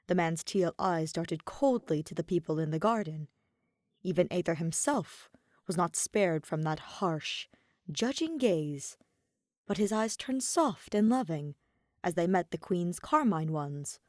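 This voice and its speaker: A woman reading fiction aloud as an expressive scripted storyteller. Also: on the low side, unhurried, no echo, studio quality. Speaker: podfic reader.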